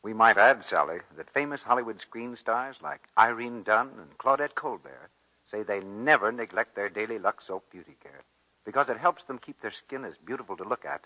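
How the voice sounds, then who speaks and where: An American man working as a 1940s radio announcer, delivering a scripted soap pitch. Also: normal speed, pushed and bright. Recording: muffled vintage 1940s radio broadcast.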